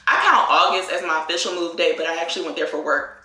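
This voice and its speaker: A woman's voice: lowish voice, fast, sharp tone. A woman in her twenties from Virginia, chatting casually as a beauty vlogger and talking about her life with lots of likes and y'alls. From Virginia, USA. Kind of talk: casual get-ready-with-me chat. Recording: echoey room with faint music.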